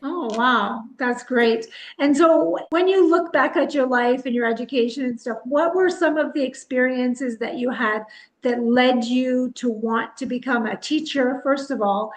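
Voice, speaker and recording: Female podcast host, slightly high voice, conversational interviewer's delivery. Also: a little slow, low-quality recording.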